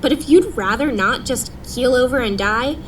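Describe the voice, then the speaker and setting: A woman's voice: a little high, moderate pace, fairly bright tone, natural voice. An actress playing an exasperated young woman who firmly leads a group of mages in an audio drama. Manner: firm, speechifying, scolding. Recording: distant, faintly noisy recording.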